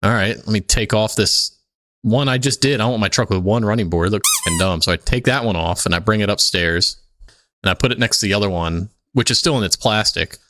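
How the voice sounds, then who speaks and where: A man's voice: on the low side, a little fast, fairly bright tone, no echo. A man co-hosting a podcast, telling stories casually in a rambling, salty way full of filler words. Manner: rambling, profane, peppered with "like". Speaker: podcast host. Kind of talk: casual chat, anecdotal storytelling.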